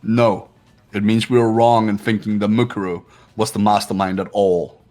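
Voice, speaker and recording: A man playing a proud, analytical high school student in a fan-dubbed video game, speaking in a haughty, deliberate way. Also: little pitch movement, a little mellow, natural voice. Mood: cool, analytical. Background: game music.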